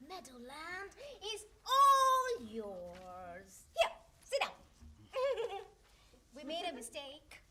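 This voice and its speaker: An actress playing a volatile, quarrelsome grown daughter given to melodramatic hysterics in a stage farce. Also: female voice, sits high, wildly swooping pitch, very slow, background noise, exaggerated voice. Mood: forced sweetness. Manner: melodramatic, over-the-top. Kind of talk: stage farce read as audio drama.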